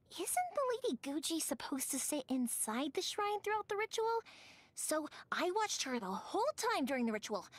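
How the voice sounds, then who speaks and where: A woman voicing a cheerful, warm-hearted young fireworks maker who tells stories in an animated, chatty way, as a video game character. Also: high voice. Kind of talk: video game character voice lines, conversational storytelling.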